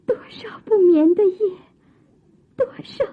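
Very high-voiced, plaintive young maiden. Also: female voice. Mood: tearful, grieving. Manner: plaintive, drawn-out, breathless exclamations. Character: delicate, sorrowful young maiden, devoted daughter. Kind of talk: theatrical Chinese radio drama acting. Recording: dull, lo-fi radio drama recording.

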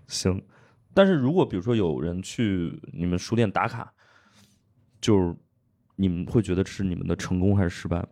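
A man's voice: sits low, expressive, moderate pace, pauses often, darkish tone. A man hosting a casual panel chat, with a joking, teasing way of talking. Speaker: podcast host.

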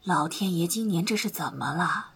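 An actress playing a weary, tender elderly mother in an audio drama, with a breathy, husky voice. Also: fairly low pitch, normal speed, fairly bright tone, full character voice. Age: older. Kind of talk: Mandarin audio drama acting.

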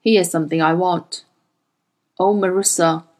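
A woman voicing a powerful, grey-haired older man who speaks in a low murmur. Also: steady tone, normal speed, darkish tone, lightly acted.

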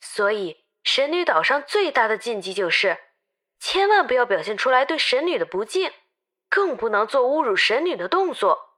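A woman playing a lively tour guide who tells an island legend to travelers in an expressive, emphatic audio-novel style. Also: on the high side, measured pace, heavily acted. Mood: loud, emphatic. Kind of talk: dramatized storytelling in an audio novel.

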